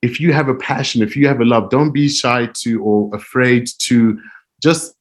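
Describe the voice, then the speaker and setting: A man from Zimbabwe, answering interview questions in an earnest, reflective way with frequent soft laughs. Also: mid-range voice, normal speed. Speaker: interview guest, music publishing director.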